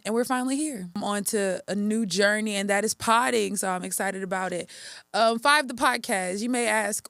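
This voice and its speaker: A woman's voice: medium pitch, fairly bright tone, dry recording. A woman in her late twenties from Texas, hosting a solo podcast in a casual, upbeat, chatty way with frequent ums and restarts. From Texas, USA. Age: 20s. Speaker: podcast host.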